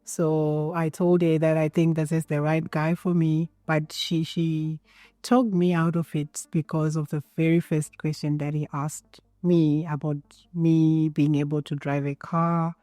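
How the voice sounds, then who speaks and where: A woman from Zimbabwe with a Zimbabwean accent, telling her story calmly in a documentary interview. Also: low-pitched, a little slow, no echo.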